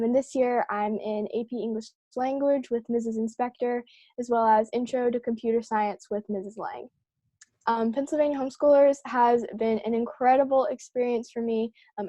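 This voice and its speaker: A woman's voice: fairly high pitch, warm tone, no echo. A teenage girl from the United States giving a casual testimonial as a student panelist. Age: teenager, about 15. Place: online conference call, close dry sound.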